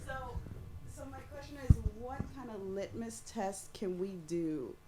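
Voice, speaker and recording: A woman with a loud voice asking a panel a long, earnest question from the audience. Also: mid-range voice, animated, measured pace, a little hiss or hum, rough recording.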